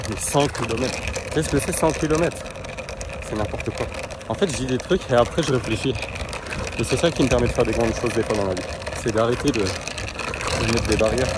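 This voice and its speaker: Chatty young male vlogger, mid-ride on a kick scooter, talking straight to viewers; outdoor city noise clearly audible. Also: medium pitch. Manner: chatty, addresses viewers directly, fillers and asides. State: strained from exertion. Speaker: vlogger, coach and nutritionist. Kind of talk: casual vlog commentary while riding a kick scooter. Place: outdoors in a city, parks and streets. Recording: noisy outdoor street sound. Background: outdoor city ambience.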